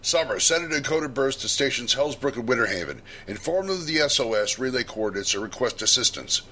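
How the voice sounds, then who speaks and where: A man playing a commanding starship captain in a sci-fi audio drama, giving authoritative orders in a husky voice. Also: mid-range voice, animated, fairly quick, pushed and bright.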